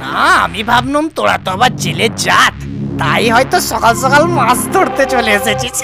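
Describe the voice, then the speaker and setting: A man's voice: extremely high pitch, expressive, normal speed, creaky, exaggerated voice. A man voicing a boastful male venomous snake in a comic cartoon, sneering, needling and threatening like a bully. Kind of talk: comic cartoon villain dialogue. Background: music.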